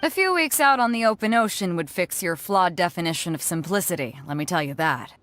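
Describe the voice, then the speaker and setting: A woman's voice: lowish voice, expressive, brisk, a bit bright, a little hiss or hum. A woman voicing a bold, laid-back sea captain in a video game, with a hearty, teasing manner. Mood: breezy.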